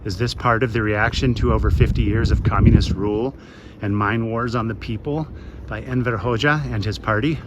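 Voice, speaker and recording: A man in his late fifties from the west coast of the United States, narrating a travel vlog in a measured, reflective way. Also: fairly low pitch, steady tone, dull audio, noisy background. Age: 50s. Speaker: travel vlogger. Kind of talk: scripted voice-over narration.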